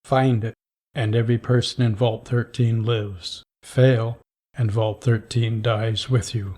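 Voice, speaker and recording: An actor playing a stern, grave vault overseer who speaks in a commanding way. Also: male voice, medium pitch, steady tone, slow, mellow.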